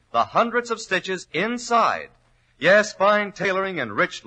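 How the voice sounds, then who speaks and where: A man's voice: fairly high pitch, pushed and bright. A man working as a radio announcer, reading a scripted 1940s advertisement with a polished salesman's delivery. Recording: old 1940s radio recording.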